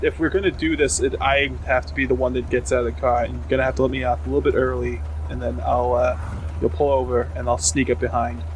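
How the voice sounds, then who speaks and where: A man playing a tabletop roleplaying game, talking casually and haltingly as he thinks aloud. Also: mid-range voice, level tone, a little hiss or hum, poor audio. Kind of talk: casual in-character game talk.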